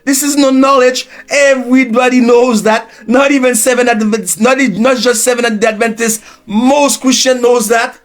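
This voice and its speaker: A man from Haiti preaching an unscripted sermon, with Haitian Creole as his first language, restarting phrases and swinging in pitch and volume. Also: steady tone, normal speed, few pauses, soft background music. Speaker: preacher and teacher.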